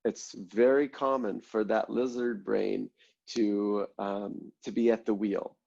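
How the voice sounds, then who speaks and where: A man leading an online mindfulness class, congested and a little nasal, explaining breathing steps in a relaxed way with many ums and "right?" check-ins. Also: fairly low pitch, takes it slowly, creaky, muffled recording. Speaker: mindfulness instructor.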